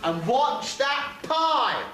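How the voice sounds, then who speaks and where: A man puts on a bossy, greedy panto dame. The voice is bright and slow, exaggerated and coaxing, played out to the house. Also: very high-pitched, very animated, exaggerated voice. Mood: playful rallying. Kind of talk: pantomime stage acting, playing to audience. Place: theatre or hall stage, live performance. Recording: very echoey hall, faint noise.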